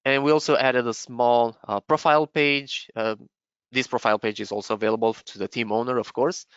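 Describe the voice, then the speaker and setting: Gravelly man demoing software. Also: mid-range voice, fairly even intonation, brisk, dry recording, dull audio. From Romania. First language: Romanian. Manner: explanatory, with frequent fillers and restarts. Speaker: software presenter. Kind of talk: live webinar product demo.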